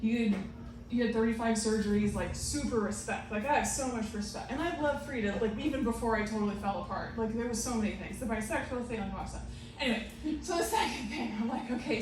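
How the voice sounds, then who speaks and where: Rambling, swearing woman storyteller on stage. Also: on the high side. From Romanian family. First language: Romanian. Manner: rambling, swearing, self-mocking, laughing often. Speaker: comedic storyteller. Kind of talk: live comedic storytelling. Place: live performance venue with audience. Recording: echoey live room, distant mic, noisy.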